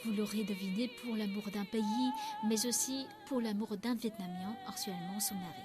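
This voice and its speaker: A woman giving smooth, scripted documentary narration in an even storytelling manner. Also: mid-range voice, normal speed. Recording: narration over loud background music.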